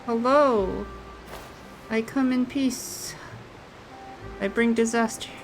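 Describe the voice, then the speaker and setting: A woman's voice: on the high side, slow, over music, low-quality recording. A woman with a playful manner, casually chatting and reading aloud as she plays a video game. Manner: giggly, playful. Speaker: game streamer.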